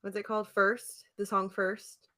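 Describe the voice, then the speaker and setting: A woman's voice: mid-range voice, flat delivery, unhurried, pushed and bright. Chatty young woman telling stories, laughing. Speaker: podcast host. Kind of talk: casual chat, storytelling.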